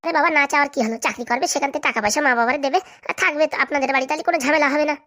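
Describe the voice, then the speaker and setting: A woman plays a cocky male fixer in a comedy cartoon. The voice is high and quick, chatty, boastful and full of reassurance. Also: sharp tone. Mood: breezy, reassuring. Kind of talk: Bengali comedy cartoon dub.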